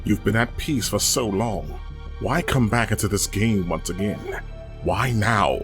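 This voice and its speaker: Man voicing a low, solemn shadowy hologram. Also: fairly bright tone. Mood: grave respect. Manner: measured, solemn. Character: shadowy male hologram, solemn old friend of a warrior. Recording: faint music behind the voice.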